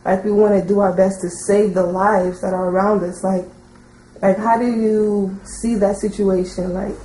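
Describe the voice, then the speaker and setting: A woman in the audience at a teaching session, putting a long, unscripted question in a hesitant, halting way full of filler likes. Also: medium pitch, level tone, mellow. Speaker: audience member asking a question. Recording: roomy echo, faint background noise.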